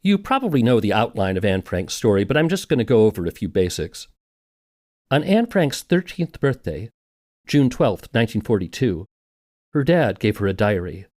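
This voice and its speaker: A man's voice: on the low side, very animated, moderate pace, darkish tone, no echo, studio quality. A man hosting a documentary podcast and reading scripted narration. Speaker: podcast host.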